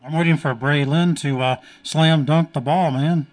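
A man's voice: fairly high pitch, fairly even intonation, moderate pace. A man, a radio host chatting casually and joking with a guest.

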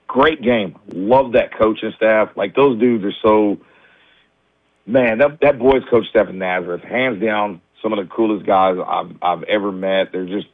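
A man, a high school basketball coach, talking candidly in a radio interview with lots of restarts; his voice is a little raspy as he recovers from the flu. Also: dry recording. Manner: candid, many restarts and fillers. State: recovering from flu, low energy. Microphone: phone line. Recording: tinny dry phone line.

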